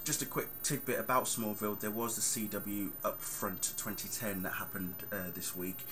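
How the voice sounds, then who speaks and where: A man's voice: fairly low pitch, steady tone, moderate pace. A man, chatting casually and rambling on a vlog with plenty of fillers. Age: 30s. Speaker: vlogger. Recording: clear background noise.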